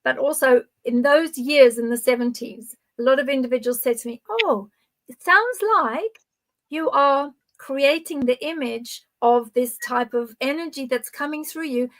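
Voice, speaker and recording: Older woman with a higher-pitched voice. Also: unhurried, a bit bright. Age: 60s or older. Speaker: podcast guide speaking on spiritual topics. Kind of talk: conversational spiritual teaching to live viewers.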